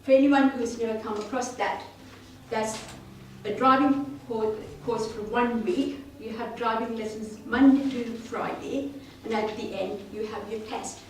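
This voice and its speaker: A woman's voice: on the high side, steady tone, measured pace, a little mellow, slight background noise. Woman speaking in a roomy hall. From Sri Lanka. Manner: earnest, self-deprecating storytelling. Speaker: club speaker. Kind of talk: prepared speech. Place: meeting room. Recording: roomy hall, mic at a distance.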